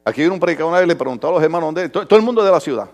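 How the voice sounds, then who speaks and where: A man preaching an animated sermon to a congregation, theatrical and humorous, swinging between murmured asides and drawn-out shouts. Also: on the high side, soft background music. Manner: humorous, mimics people in dialogue.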